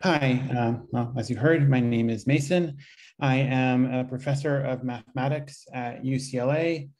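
A man with a medium-pitched voice introduces himself informally over a video call. He sounds like a professor giving a short summary of himself. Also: fairly even intonation, moderate pace, a little mellow. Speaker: mathematics professor, reader. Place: indoor room on video call.

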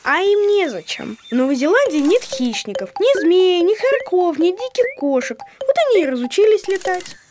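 A woman's voice: very high voice, big pitch swings, normal speed, hardly any pauses, noisy background. A woman playing a knowing little child who explains and corrects in a children's educational cartoon. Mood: explaining.

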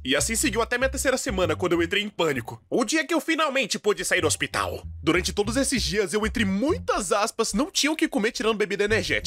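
Young Brazilian male narrator for comedic animated storytelling, highly varied pitch, expressive and exaggerated delivery.

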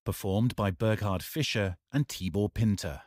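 A man with a fairly low voice reads scripted credits as an announcer. The sound is dry and close, without room echo. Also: moderate pace, a little mellow.

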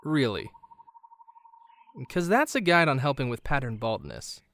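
A man playing a kind, earnest teenage boy in an animated fan drama, with a gentle, playful, conversational manner. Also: medium pitch, unhurried, slight background noise, exaggerated voice. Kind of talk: fan visual novel voice acting.

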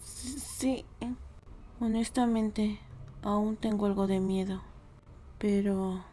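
A woman's voice: mid-range voice, flat delivery, slow, warm tone, slight background noise, lightly acted. A woman with a Latin American accent voicing a vengeful, brooding young cartoon pig in a fan dub, hesitant and solemn. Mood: grim resolve. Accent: Latin American Spanish.

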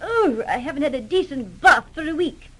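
An actress playing a spoiled, petulant city wife in a 1930s radio drama, whiny and disdainful. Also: female voice, sits high, expressive, moderate pace, sharp tone. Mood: petulant, scornful.

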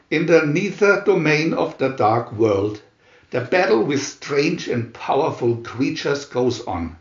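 A man reading a dramatic storybook narration in a theatrical, measured manner. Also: fairly high pitch, roomy sound, muffled recording. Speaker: narrator reading a picture booklet.

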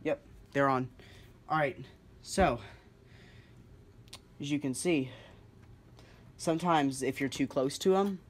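A man's voice: on the high side, slow, pauses often, slight background noise. A man giving a casual, unscripted demonstration in an informal, explanatory way. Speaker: hobbyist video creator demonstrating two-way radios. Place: indoors, kitchen-like room with countertop.

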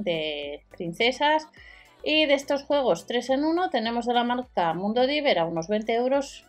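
A woman giving a matter-of-fact voice-over of store deals, listing prices and sizes. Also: fairly high pitch. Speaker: deal-video host. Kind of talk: voice-over product walkthrough.

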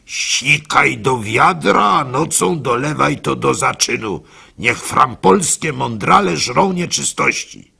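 Man voicing a forceful, scornful tempter devil. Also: medium pitch, expressive, slow pace, gravelly, husky, exaggerated voice. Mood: goading. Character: blunt, cynical, tempting male devil. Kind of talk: dramatised storytelling.

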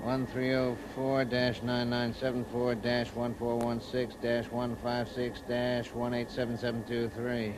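A man's voice: mid-range voice, completely flat delivery, measured pace, hardly any pauses, natural voice. An American man playing a scornful, domineering ship's officer in a 1950s radio drama. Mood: brisk, official. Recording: noisy vintage 1950s radio recording.

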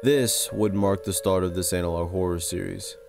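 A man with a low-pitched voice narrates a scripted video essay. His delivery is measured and serious. Music plays faintly behind him. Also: steady tone, creaky. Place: close-recorded voice-over with music behind.

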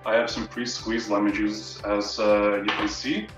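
A man's voice: lowish voice, level tone, unhurried, a bit bright. A young adult man, a student, talking casually to camera on a video call from a room at home. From Canada. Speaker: student fellow, presenter. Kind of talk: casual personal talk to camera. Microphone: camera mic at a distance. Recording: roomy echo, distant mic, music behind. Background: music.

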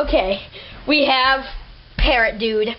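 A woman's voice: high voice, takes it slowly, slight background noise. A young woman narrating and playing prosecutor in a home plush toy puppet show, playful and a little giggly. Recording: dull lo-fi home camera audio.

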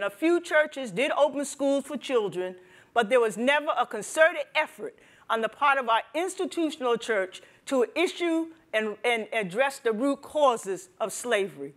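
An older woman giving a prepared speech on a panel in a deliberate, solemn manner. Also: high-pitched, a bit bright. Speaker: panel speaker, religious sister. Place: wood-panelled library room at a panel table. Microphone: lapel.

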